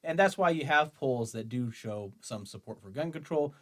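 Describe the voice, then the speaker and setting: A man's voice: medium pitch, animated, normal speed, fairly bright tone. A man hosting an opinion show, reading aloud and reacting in an argumentative, mocking way. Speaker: host of a commentary show on guns.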